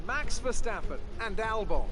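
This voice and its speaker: A man's voice: sits high, big pitch swings, normal speed. A man voicing a polished race commentator in a video game, reading out the grid. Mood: upbeat. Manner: polished, announcer-like. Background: game music.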